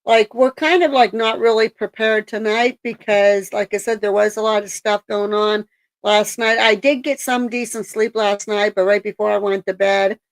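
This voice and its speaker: A woman hosting a casual live stream, raspy-voiced after a coughing spell, rambling with lots of ums and likes. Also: mid-range voice, fairly even intonation, moderate pace. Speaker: streamer host.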